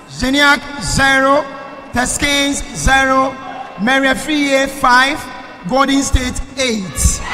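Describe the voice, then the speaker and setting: A very high-pitched Ghanaian man hosts a live quiz in a big, reverberant hall with crowd noise around him. He announces slowly and ceremoniously, repeating his phrases for the room. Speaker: quiz master. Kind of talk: live quiz show hosting. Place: large hall with audience noise behind.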